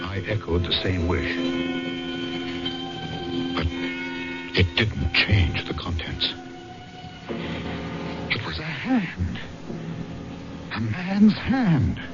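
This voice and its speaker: An actor playing an earnest, apologetic and shaken police magistrate in an old-time radio drama, formal and emotive. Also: male voice, fairly low pitch, huge pitch swings, drawn-out words, long pauses, heavily acted. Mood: horrified, hushed. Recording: muffled old radio recording with loud music.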